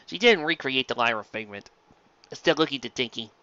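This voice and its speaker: Animated, bright-voiced man narrating a story. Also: medium pitch, fairly quick, highs cut off. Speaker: narrator, fan fiction reader. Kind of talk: expressive scripted story narration.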